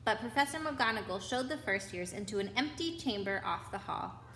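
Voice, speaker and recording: Higher-voiced woman reading to children, echoey room. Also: animated, moderate pace, fairly bright tone. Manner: chatty asides to young listeners. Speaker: children's story reader. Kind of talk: read-aloud storytelling for children. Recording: echoey room with background noise.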